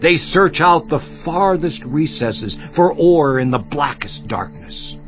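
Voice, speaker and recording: A man's voice: a little high, expressive, slow, faint music behind. An actor playing an anguished, defiant righteous man in a theatrical, emphatic scripture monologue. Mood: grave. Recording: slightly muffled audio.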